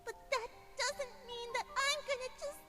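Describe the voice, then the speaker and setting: A woman voicing a guilt-ridden, fiercely protective young cartoon pony, emotional and halting, with music behind her. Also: extremely high pitch, fairly even intonation. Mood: fierce, defiant. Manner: emotional, halting, repeating words. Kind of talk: dramatic fan fiction acting. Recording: dramatic music playing behind. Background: loud music.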